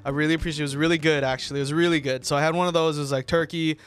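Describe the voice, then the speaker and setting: Chatty young man, bright and smooth. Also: a little high, steady tone, rapid-fire, soft background music. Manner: chatty, rambling with fillers and repeats. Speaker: sports show co-host. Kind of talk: casual chat. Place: small TV studio, indoors. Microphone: desk mic on boom arm.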